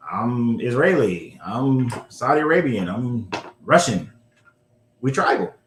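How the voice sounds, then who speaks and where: A man's voice: mid-range voice, expressive, slow, a little hiss or hum. A man hosting a casual history discussion, talking loosely with some swearing and correcting himself as he goes. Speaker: show host. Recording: roomy echo.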